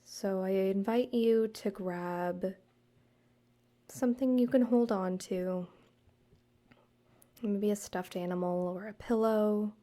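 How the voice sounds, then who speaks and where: A woman guiding a meditation, speaking to the listener in a soothing, measured way with deliberate pauses. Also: mid-range voice, fairly even intonation, warm tone. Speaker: guided meditation host, counselor and yoga teacher. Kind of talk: gentle guided meditation narration, addressed to the listener.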